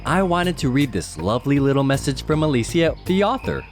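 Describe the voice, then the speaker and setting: Gentle, patient ape father , played by a man for an animated kids' read-aloud; lively swings in pitch, soft music behind. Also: fairly quick, lightly acted. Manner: expressive, gently instructive. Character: gentle, patient ape papa reading to his child. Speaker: storybook reader.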